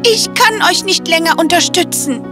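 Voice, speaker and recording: Woman voicing a high-pitched caring mother pig. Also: moderate pace, over music. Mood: solemn, urging. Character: mother pig sending grown children off, caring but firm. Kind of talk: children's storybook character voice.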